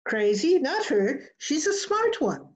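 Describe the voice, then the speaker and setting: A woman's voice: high voice, big pitch swings, takes it slowly, full character voice. A woman playing a cheerful, matter-of-fact vet in old-time radio comedy style over a video call. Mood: cheerfully proud. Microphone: video call computer mic. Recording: slightly dull video-call audio.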